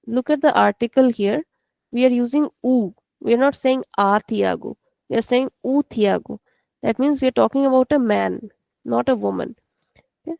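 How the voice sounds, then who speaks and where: A woman's voice: fairly high pitch, creaky, dark tone, no room sound. A woman with an Indian English accent teaching an online language class, explaining patiently and repeating each point step by step.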